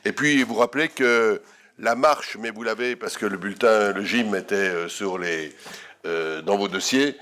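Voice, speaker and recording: Low-voiced Frenchman chairing a meeting. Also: unhurried, a bit bright. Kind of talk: informal closing remarks and announcements.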